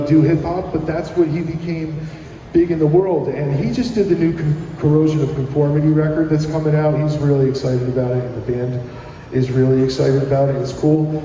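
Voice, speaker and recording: A man's voice: a little high, mellow, slight background noise. A middle-aged American man, a musician guest, chatting casually and telling rambling, enthusiastic anecdotes. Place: live radio broadcast at a public plaza. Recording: echoey hall with music behind.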